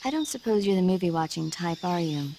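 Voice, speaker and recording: An actress playing a friendly, earnest radio support operator who chats enthusiastically in a video game. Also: female voice, lowish voice, lively intonation, a little fast, dark tone, slight background noise. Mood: friendly, enthusiastic. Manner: chatty, earnest.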